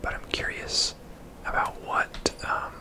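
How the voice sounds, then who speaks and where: Whispering ASMR gamer. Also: takes it slowly. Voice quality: whispered, breathy unvoiced voice. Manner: laid-back, casual. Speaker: host, gamer. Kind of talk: whispered ASMR game commentary.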